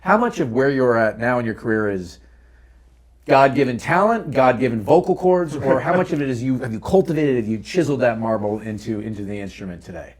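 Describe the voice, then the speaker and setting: A man hosts a casual interview show. His voice sits at a medium pitch, and he talks in a conversational, joking way, like someone chatting with guests. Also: expressive, fairly quick. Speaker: podcast host.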